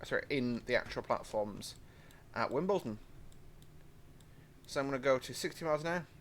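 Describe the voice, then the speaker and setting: A man's voice: medium pitch, lively intonation, normal speed, slight background noise. A young British man giving rambling, chatty commentary while driving a train simulator, with frequent apologetic asides. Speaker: gaming channel host. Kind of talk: casual train-sim let's-play commentary.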